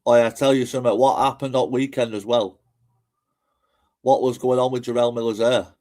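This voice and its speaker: A man's voice: medium pitch, flat delivery, fairly quick, darkish tone. A man giving casual, rambling livestream commentary in a chatty, informal way. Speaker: streamer and host.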